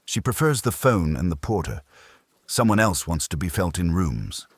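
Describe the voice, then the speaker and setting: A man acts out a pedantic, commanding master detective from a mystery audiobook. He speaks in ornate, formal sentences, very low and husky, with emphatic swings of pitch. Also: big pitch swings, normal speed, dry recording, exaggerated voice. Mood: musing.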